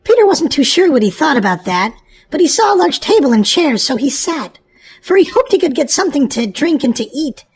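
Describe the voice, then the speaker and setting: A woman's voice: sits high, sing-song, fairly quick, a little mellow. A woman hosting a children's show and reading a storybook aloud in an exclamatory way, as if to young children. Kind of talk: animated children's read-aloud narration.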